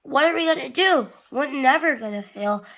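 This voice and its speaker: A woman's voice: high voice, lively intonation, normal speed, a bit bright, voice pushed up. A woman voicing a worried little nursery-rhyme boy in a plaintive, exclaiming manner. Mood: dismayed.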